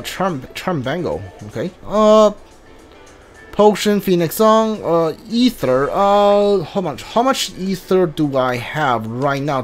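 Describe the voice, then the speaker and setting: A man doing casual video game playthrough commentary, reading on-screen text aloud and mumbling to himself as he thinks. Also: high voice. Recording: game music behind the voice. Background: video game music.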